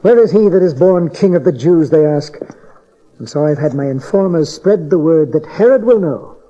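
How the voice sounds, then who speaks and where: Imperious king with wildly swooping pitch. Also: expressive, moderate pace, warm tone. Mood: scheming contempt. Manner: commanding, sardonic, clipped questioning. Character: imperious, suspicious, scornful king, short-tempered. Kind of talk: dramatized Bible audio acting. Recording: dull cassette tape audio drama.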